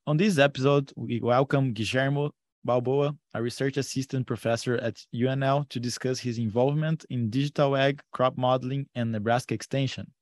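A man's voice: medium pitch, steady tone, brisk, dry recording, clean studio sound. A man co-hosting a podcast, reading the intro and asking the guest questions. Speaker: podcast co-host.

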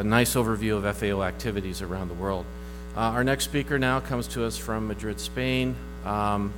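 Low-voiced male moderator in a reverberant hall. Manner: halting, with many fillers. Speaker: panel moderator. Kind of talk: introducing a speaker. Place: conference hall. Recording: long hall reverb, music behind.

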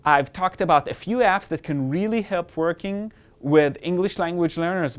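A man's voice: fairly high pitch, smooth. A man whose first language is Hebrew, presenting an upbeat, explanatory screencast tutorial on classroom apps. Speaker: educational technology presenter.

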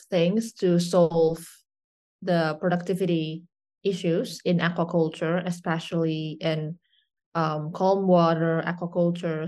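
Soft-spoken woman from Indonesia, low voice. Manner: hesitant, many fillers and restarts. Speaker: startup CEO guest. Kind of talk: conversational interview answers.

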